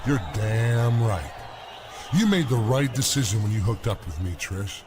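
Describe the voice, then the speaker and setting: A man's voice: on the low side, animated, unhurried, noisy background. An actor playing a cocky, boastful wrestler bragging about his size.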